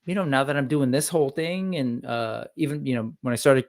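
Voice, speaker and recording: A man in his forties hosts a casual chat, with an ordinary mid-range pitch. He rambles easily, trailing into fillers and restarting sentences. The sound is dry and close, with no room around it. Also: brisk, no room sound. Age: 40s. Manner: relaxed, chatty, lots of fillers and restarts. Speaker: host. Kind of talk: casual chat on a live stream.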